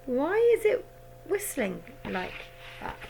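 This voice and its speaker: A woman's voice: on the high side, big pitch swings, slow, gravelly, background noise, pitched above the performer's own voice, exaggerated voice. A woman voicing a fretful, doubting mother who nags and frets. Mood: doubtful, fretful. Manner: fretful, nagging.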